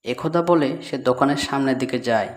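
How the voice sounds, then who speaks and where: A man's voice: medium pitch, normal speed. A man narrating a scripted children's story, with music behind.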